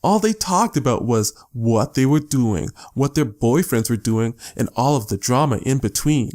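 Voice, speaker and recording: A man's voice: medium pitch, animated, moderate pace, a little mellow. A man reading scripted story narration as the narrator of an audiobook.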